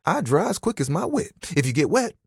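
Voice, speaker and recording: Casting: eager, self-conscious towel character for a dating game. Very animated pitch swings, slightly husky, casual rambling delivery. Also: male voice, measured pace, no echo, clean studio sound, heavily acted. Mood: awkward, flustered. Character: talking bath towel, bashful, eager, laid-back young guy. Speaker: video game voice actor.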